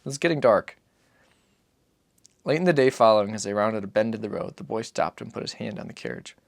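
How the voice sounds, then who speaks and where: A man giving a dramatic reading of literary fiction, solemn and measured in his phrasing. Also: fairly low pitch, lively intonation, vocal fry, no echo, clean studio sound. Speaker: audiobook narrator.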